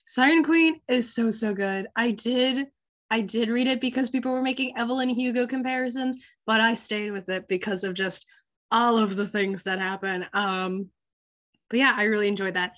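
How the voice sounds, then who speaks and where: A teenage girl hosting a casual book podcast, a bit hoarse from a cold, chatty and full of ums and likes. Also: on the high side, normal speed, a bit bright. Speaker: podcast host.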